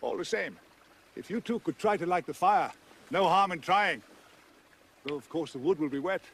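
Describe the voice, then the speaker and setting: A man's voice: high-pitched, normal speed, a bit bright, husky, heavily acted. A man playing a gloomy, pessimistic marsh creature in an audio drama, speaking lugubriously as if expecting the worst. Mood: gloomy greeting. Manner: lugubrious, always predicting the worst.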